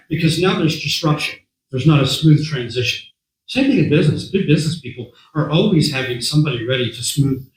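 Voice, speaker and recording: A man with a somewhat high, hoarse voice speaks to a meeting in a conversational way. He repeats words and clears his throat often. The sound comes from an echoey meeting room. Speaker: guest speaker. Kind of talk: talk to a meeting.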